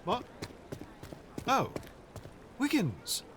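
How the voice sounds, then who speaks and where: An actor playing a polite, flustered, apologetic gentleman in an audio drama, courteous and hesitant. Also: very high-pitched, huge pitch swings, extremely slow, a little hiss or hum, exaggerated voice.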